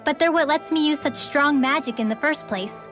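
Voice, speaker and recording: An actress playing a friendly, confident young mage and guide in a video game, explaining things readily. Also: female voice, high voice, moderate pace, a bit bright, faint music behind. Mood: explanatory, modest.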